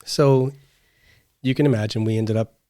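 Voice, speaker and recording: A man's voice: fairly low pitch, steady tone, moderate pace, dark tone, no room sound, studio quality. A middle-aged man chatting casually as a podcast co-host. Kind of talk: casual conversational chat.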